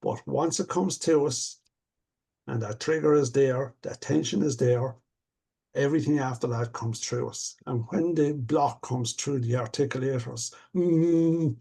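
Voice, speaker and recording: Older man with a gravelly, husky voice. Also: medium pitch, measured pace, mellow. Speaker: stuttering coach. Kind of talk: casual conversation.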